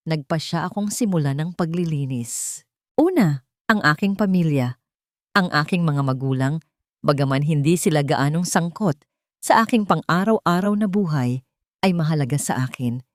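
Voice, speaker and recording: Lady reading a dramatic love story, voice a bit low, super dry close sound. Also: normal speed, darkish tone, dry recording. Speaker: story narrator. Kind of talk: scripted dramatic story narration.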